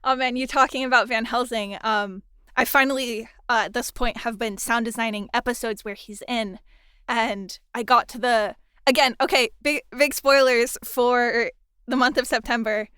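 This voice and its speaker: A young woman hosting a casual podcast interview, with a fairly high, smooth, resonant voice. She talks with easy enthusiasm and lots of fillers, and the sound is close and dry with no room echo. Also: fairly even intonation, moderate pace, bright, forceful tone. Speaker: podcast host and sound designer.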